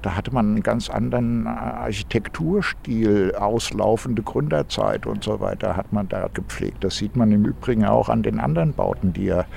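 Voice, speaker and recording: A man with a Hessian accent talks in a low, gravelly voice, pausing to think as he goes. He is recorded outdoors in a park. A faint hiss sits under the recording. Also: darkish tone. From Frankfurt, Germany. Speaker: architect, interview guest. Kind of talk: casual outdoor conversation.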